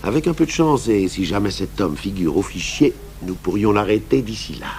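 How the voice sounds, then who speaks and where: Low-voiced police commissioner. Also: male voice, lively intonation, a little mellow. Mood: brisk, wry. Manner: measured, deliberate, deferential but firm. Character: seasoned male police commissioner, calm, patient, wryly stubborn. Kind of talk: French radio drama acting. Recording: hummy, noisy old radio broadcast.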